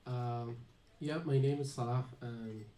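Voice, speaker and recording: A man's voice: mid-range voice, fairly even intonation, unhurried, warm tone. Young Palestinian man, hesitant, echoey room. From Gaza, Palestine. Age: young adult. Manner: hesitant, many fillers and restarts. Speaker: panel guest, student. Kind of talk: personal account at a panel. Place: small dim venue with live audience.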